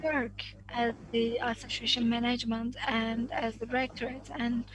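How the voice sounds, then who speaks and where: A woman's voice: a little high, flat delivery, normal speed, a little hiss or hum, rough recording. A woman giving live simultaneous interpretation of a conference talk, delivering it phrase by phrase with frequent self-corrections. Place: conference summit.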